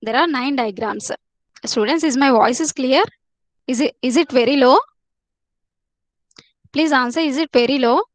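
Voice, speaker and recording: A woman's voice: high-pitched, normal speed, long pauses, dry recording. A woman teaching a live online class, repeating herself and coaxing students to answer in the chat.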